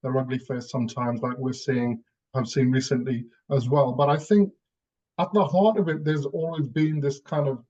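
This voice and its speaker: A man's voice: mid-range voice, fairly even intonation, moderate pace, mellow, muffled recording. A man from Newcastle in England sharing personal reflections on a panel, hesitantly repeating phrases as he thinks. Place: online video call.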